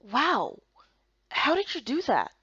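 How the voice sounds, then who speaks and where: Woman playing a bright-spirited, high-voiced young heroine. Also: takes it slowly, dry recording, dull audio, heavily acted. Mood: curious, amazed. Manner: higher, louder, expressive. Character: spirited, curious young heroine of fantasy game.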